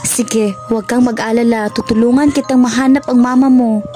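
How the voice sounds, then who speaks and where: A woman's voice: on the high side, level tone, moderate pace, dark tone, lightly acted. A woman playing a brave, caring young activist in an earnest, dramatic amateur radio drama. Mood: tender, concerned. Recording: faint music behind the voice.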